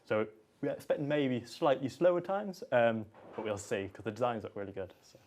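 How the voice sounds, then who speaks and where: A man's voice: mid-range voice, fairly quick, darkish tone, slight background noise. A man explaining car stats and build quality in an eager, explanatory way, with some restarts. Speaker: technical analyst. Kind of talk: technical commentary.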